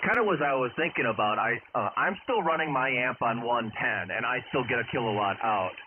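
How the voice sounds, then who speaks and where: A man's voice: medium pitch, animated, fairly quick. A man chatting casually as an amateur radio operator, heard over a two-way radio transmission. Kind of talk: casual ham radio chat. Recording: noisy, narrow-band ham radio signal.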